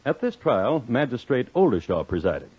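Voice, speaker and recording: An actor playing a wry, formal storyteller, narrating a scripted 1950s radio crime drama in a measured, theatrical manner. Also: male voice, mid-range voice, sing-song, slightly rough. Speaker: narrator host. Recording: muffled old radio recording.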